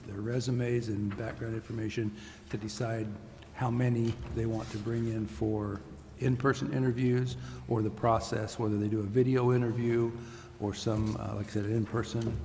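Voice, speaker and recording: A male city official explains things in a low, even voice with very little pitch movement. The delivery is that of plain public business. It is recorded in a large, reverberant hall. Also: gravelly. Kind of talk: explanatory remarks in a public meeting. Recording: echoey hall with long reverb, faint noise.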